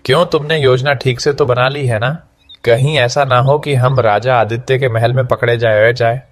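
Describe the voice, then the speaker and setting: A man's voice: medium pitch, fairly even intonation, normal speed, no room sound, clean studio sound, faint music behind, natural voice. A man playing an anxious villainous soldier in a cartoon moral story, wary and questioning.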